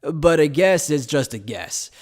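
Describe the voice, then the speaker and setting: A man playing an evasive, playful scholar who explains things in a polite, measured, teasing way in an anime fan dub. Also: a little high, lively intonation, darkish tone. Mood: measured, probing. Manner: polite, measured, teasing lecturer with coy understatement.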